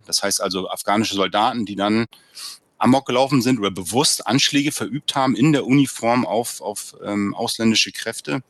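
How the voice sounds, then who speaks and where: A man's voice: on the low side, a bit bright. A man, an author and former soldier, answers an interview question in a rambling, reflective way, full of fillers and tag questions.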